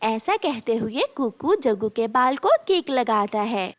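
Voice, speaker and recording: A woman's voice: fairly high pitch, animated, a little slow, no echo. A woman narrating a scripted children's story.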